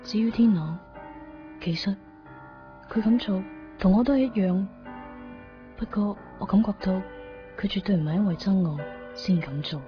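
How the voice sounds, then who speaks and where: Actress doing torn young hong kong cantonese woman, mid pitch, brooding radio drama confession, halting lines, music under it. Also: lightly acted. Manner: introspective, halting short phrases. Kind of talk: 1990s Cantonese radio drama acting and introspective narration. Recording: dull lo-fi 1990s radio recording, music behind. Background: background music.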